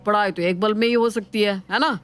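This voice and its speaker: A man's voice: sits high, fairly even intonation, fast, a bit bright, clean tone. A grumbling older Indian father-in-law from a cartoon family tale. He is thrifty and easily exasperated, and scolds in exclamations. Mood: bossy. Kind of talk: Hindi animated moral story acting.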